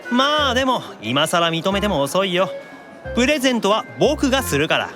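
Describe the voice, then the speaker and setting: A man's voice: high-pitched, big pitch swings, normal speed, music in the background. An actor playing a geeky, shy young male demon in an anime-style audio drama, needling and boyish, stammering when embarrassed. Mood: snarky, competitive.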